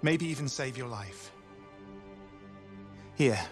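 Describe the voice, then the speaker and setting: An actor playing a flamboyant, smug young turncoat in a video game, sardonic, chatty and given to theatrical self-pity. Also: male voice, mid-range voice, lively intonation, slow pace, faint music behind. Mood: sombre, apologetic.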